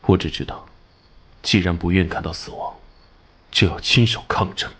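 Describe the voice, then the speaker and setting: An actor voicing a hot-tempered, haunted police captain and former soldier in an animated drama, blunt and earnest. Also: male voice, deep voice, moderate pace, slightly rough. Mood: earnest, firm. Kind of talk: Chinese animation dubbing.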